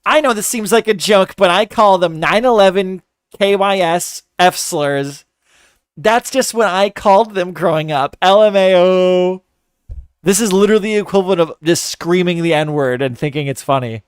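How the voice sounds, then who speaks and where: A man with a fairly high, crisp voice rants in mocking commentary. He is sarcastic and swears freely. The sound is dry and close. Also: dry recording. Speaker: commentary host.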